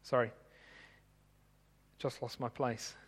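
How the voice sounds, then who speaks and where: A man who grew up in Tanzania, preaching a sermon in a measured, explanatory way while reading from his notes. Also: medium pitch, flat delivery, husky, in a large hall.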